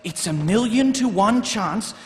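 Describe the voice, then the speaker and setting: Casting: sincere, literal young watchman, high and animated, live hall reverb. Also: unhurried, music in the background. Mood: earnest, alarmed. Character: earnest, literal-minded young male watchman. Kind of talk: live radio theatre acting.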